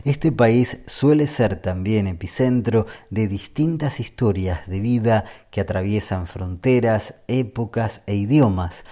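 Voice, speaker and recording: A man narrating a scripted radio feature as a reporter, with a measured, even storytelling delivery. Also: lowish voice.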